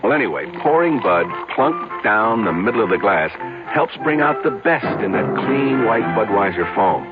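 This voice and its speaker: Tinny old radio sound with clear music backs a man announcing a commercial. His low voice is conversational and emphatic, with very lively intonation. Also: moderate pace. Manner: chatty, emphatic. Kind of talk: scripted conversational radio advertisement.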